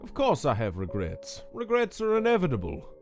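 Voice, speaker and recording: A man's voice: on the high side, huge pitch swings, normal speed, darkish tone. A man voicing a smug, cynical cartoon casino boss with a bitterness underneath, in a sly, drawling, theatrical manner. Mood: guarded. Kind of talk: scripted comic dub character acting. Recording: music under the voice.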